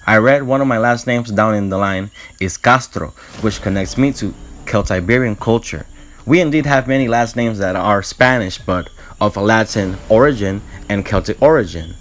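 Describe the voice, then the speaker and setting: A man's voice: lowish voice, a little hiss or hum. A man from Puerto Rico talking to camera in an earnest, explanatory way, reading prepared lines for his vlog. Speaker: vlogger. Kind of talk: scripted talk to camera.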